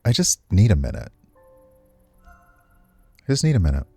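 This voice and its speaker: A man's voice: deep voice, wildly swooping pitch, unhurried, vocal fry, dark tone, breathy edge, dry recording, soft background music, heavily acted. Man reading as a hesitant young woman dancer. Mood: weary. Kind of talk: reading visual novel dialogue aloud.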